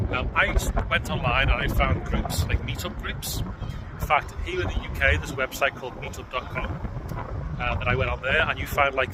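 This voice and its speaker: A man from the United Kingdom giving casual advice in a conversational way, with frequent ums and restarts. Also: medium pitch. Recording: loud outdoor background noise.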